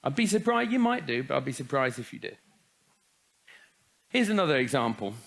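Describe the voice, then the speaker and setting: A man's voice: mid-range voice, sing-song, fairly quick, gravelly, fairly bright tone. A man giving a humorous talk at a youth conference, full of wry jokes and rhetorical questions. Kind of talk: humorous talk to young people.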